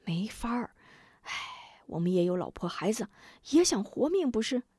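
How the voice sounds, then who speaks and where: A woman voicing a weary lowly male worker who talks in a hushed, resigned, sighing way. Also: very animated, normal speed, husky, dry recording, studio quality.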